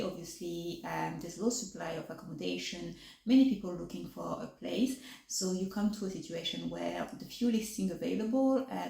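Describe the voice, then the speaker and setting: A French woman chats to camera in English, her second language, hesitating often with fillers and restarts, her speech a little thick from braces. Her voice is lowish and mellow. The room around her rings with echo. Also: creaky. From France. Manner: articulation a little off from new braces. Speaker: vlogger. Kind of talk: casual chat to camera.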